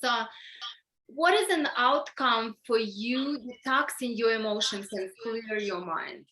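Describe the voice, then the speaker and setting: A middle-aged woman with a Ukrainian accent, leading an online workshop in a halting way, full of fillers and restarts, on a video call. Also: on the high side, sharp tone, slight background noise. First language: Russian or Ukrainian. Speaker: workshop facilitator. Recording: echoey room.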